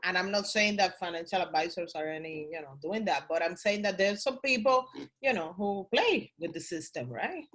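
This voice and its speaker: A woman's voice: lowish voice, expressive, normal speed, fairly bright tone. A woman hosting a bilingual interview podcast, asking broad questions and chiming in with short agreements.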